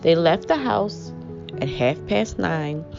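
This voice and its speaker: A woman reading aloud to young children as host, with an expressive, measured storybook delivery. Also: lowish voice, gravelly. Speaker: children's book reader, host. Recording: muffled audio with prominent music.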